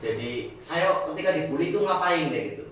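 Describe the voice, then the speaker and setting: Lively young man moderating. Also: fairly high pitch, a little fast. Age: young adult. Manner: lively, joking, prompting others to speak. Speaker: discussion moderator. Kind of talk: casual group discussion. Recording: echoey room, distant mic, faint noise.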